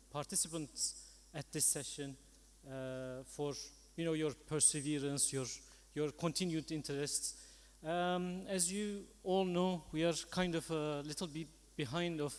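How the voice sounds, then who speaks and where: A man's voice: a little high, steady tone, unhurried. A man chairing a conference session, speaking politely and hesitantly in semi-formal, unscripted remarks. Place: large conference hall. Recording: echoey hall with long reverb.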